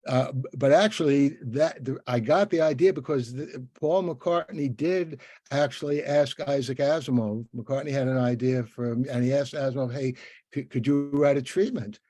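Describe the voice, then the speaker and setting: An older man hosting a casual interview, talking in a halting, rambling way with many restarts. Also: medium pitch, mellow, dry recording. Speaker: interviewer, podcast host.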